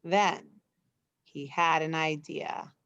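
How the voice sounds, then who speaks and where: A woman reading a children's bedtime story aloud, putting expressive emphasis into the dialogue. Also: deep voice, slow pace, clear, resonant tone, no room sound, muffled recording.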